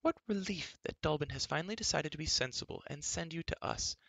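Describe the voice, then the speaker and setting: A man's voice: on the high side, animated, a little fast, creaky, a bit bright, no room sound, pitched above the performer's own voice, full character voice. A man voicing a fussy, flustered middle-aged queen who scolds in a fluttery way.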